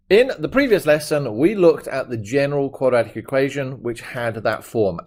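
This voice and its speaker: A male maths teacher talking through a lesson in a chatty, joking way. His voice sits at an ordinary middle pitch, and he leans hard on key words as he explains each step. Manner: chatty, jokey, emphatic explaining.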